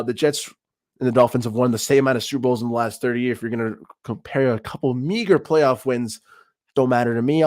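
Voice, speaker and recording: A man's voice: mid-range voice, darkish tone, dry recording, clean studio sound. A man in his thirties from Peru, hosting a live-stream sports talk show with hyped, trash-talking banter. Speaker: podcast host.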